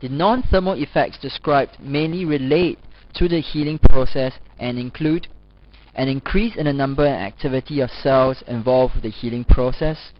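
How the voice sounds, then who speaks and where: A man giving a scripted instructional narration as a lecturer, formal and measured, as if reading aloud. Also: medium pitch, slight background noise. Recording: dull lo-fi voice-over recording.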